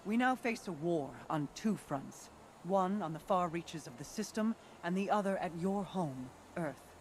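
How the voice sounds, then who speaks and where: An actor playing a regal, solemn ruler of a mystical people in a video game, with formal, measured delivery. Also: mid-range voice, slight background noise, close to an everyday voice. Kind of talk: video game cutscene monologue.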